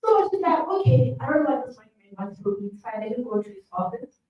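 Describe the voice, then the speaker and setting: A woman with a soft voice, a panelist summarizing a book in a measured, hesitant way with frequent ums. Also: high voice, big pitch swings, lo-fi sound. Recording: echoey room, distant mic, faint hum.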